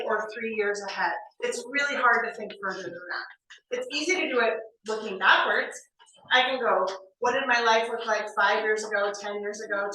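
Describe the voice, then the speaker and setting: A woman in her forties giving a lively, conversational motivational training talk in an office meeting room, often checking in with her listeners. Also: fairly high pitch. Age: 40s. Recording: very echoey room, mic far off, faint noise.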